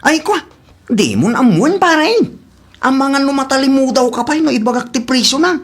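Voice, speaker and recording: A woman's voice: high-pitched, very animated, normal speed. A woman playing a giggly, boastful, flashy male buddy in a comedy audio drama.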